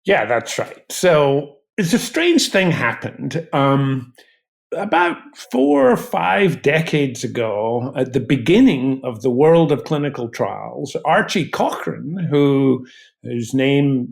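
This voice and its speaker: A man speaking as an expert interview guest in a deliberate, explanatory way. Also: medium pitch, vocal fry. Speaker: guest expert, physician researcher.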